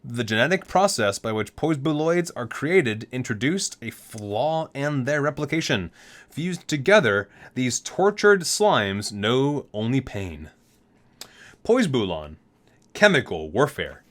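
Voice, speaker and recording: A man hosts an ASMR read-aloud at a middle pitch that moves around a lot. He trips over words, corrects himself and drops in casual asides. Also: very animated, takes it slowly, fairly bright tone. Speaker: ASMR video host.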